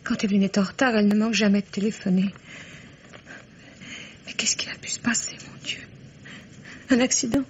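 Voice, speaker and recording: An actress playing an anxious, ailing middle-aged mother in a French radio mystery, halting and worried. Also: medium pitch, steady tone, close to an everyday voice. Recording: dull, noisy vintage radio recording.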